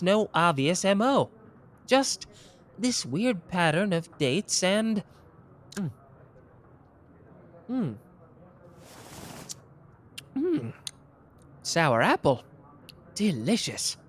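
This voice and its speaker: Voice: mid-range voice, a bit bright. A performer voicing a smug, boastful young male detective in an ASMR roleplay, teasing and playful.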